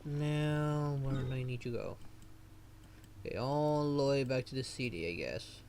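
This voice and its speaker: A woman streamer giving casual, chatty gameplay commentary, excitable and quick to laugh. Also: very deep voice, slight background noise. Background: faint game music.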